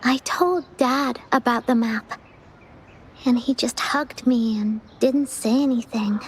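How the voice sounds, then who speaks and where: Timid, wistful child for an anime game; high-pitched, soft, slow, hesitant delivery. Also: female voice, a little hiss or hum. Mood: wistful, sad. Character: timid, wistful young child missing a parent. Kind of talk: anime-style video game voice acting.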